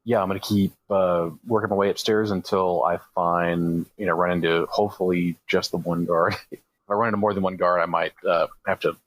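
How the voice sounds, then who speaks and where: A man's voice: low-pitched, level tone, fairly quick, rough recording. A man playing a tabletop game, casually talking through what his character will do. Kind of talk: casual improvised role-play chat.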